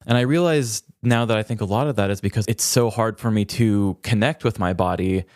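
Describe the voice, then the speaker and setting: A man's voice: lowish voice, a little fast, darkish tone, no echo, clean studio sound. A male podcast co-host chatting casually in a candid, self-deprecating way. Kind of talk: casual conversational chat.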